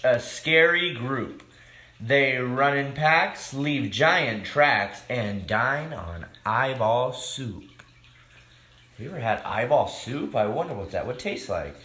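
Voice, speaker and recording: A man leads story time for young children in an echoey room. He reads expressively at an unhurried pace, in a mid-range voice, and chats casually to the listeners between lines. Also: mid-range voice. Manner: expressive, simple addresses to children.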